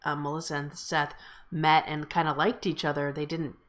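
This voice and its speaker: Woman, slightly low pitch, host, casual group discussion, informal talk. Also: little pitch movement, moderate pace. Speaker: table read host.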